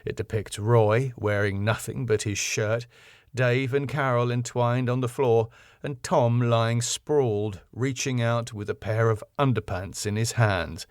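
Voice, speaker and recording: A man's voice: medium pitch, steady tone, unhurried, dry recording, studio quality. A man reading his own sitcom script as narrator, with scene headings and stage directions.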